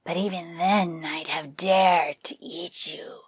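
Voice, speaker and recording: A woman voicing a sly, hungry, boastful tiger in a folktale, with a whispery, growling, drawn-out delivery. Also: on the low side, fairly even intonation, husky, no room sound. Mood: menacing.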